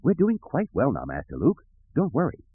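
A man's voice: medium pitch, wildly swooping pitch, moderate pace, breathy edge, narrow, tinny audio, pitched above the performer's own voice, heavily acted. A man voicing a fussy, anxious protocol droid with a prim, formal manner in an audiobook. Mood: relieved, prim.

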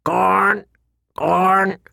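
A man's voice: sits high, little pitch movement, extremely slow, vocal fry, bright, forceful tone, dry recording, studio quality, pitched above the performer's own voice, exaggerated voice. A man voicing a demanding pet raven in an audiobook with a screeching, shrieking delivery.

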